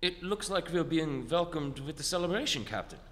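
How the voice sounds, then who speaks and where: An actor performs a courteous, subdued spaceship doctor in a vintage radio play. The voice is mid-pitched with varied intonation. The recording has the long reverb of a hall. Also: lively intonation, moderate pace, a bit bright, close to an everyday voice. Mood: reporting. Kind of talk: old-time science fiction radio play acting.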